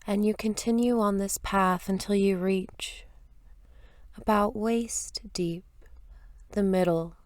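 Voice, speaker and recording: A woman leading a guided meditation in a soft, gentle, soothing voice, with unhurried phrases and long pauses, at times breathy. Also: mid-range voice, fairly even intonation, dry recording, studio quality. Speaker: meditation teacher.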